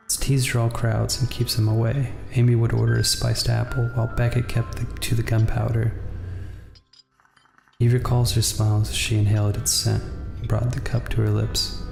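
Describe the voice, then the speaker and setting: A man reading a noir visual novel aloud as narration, in a measured, subdued storytelling way. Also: fairly low pitch, gravelly, a little mellow, roomy sound. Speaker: video game player reading text aloud. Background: music.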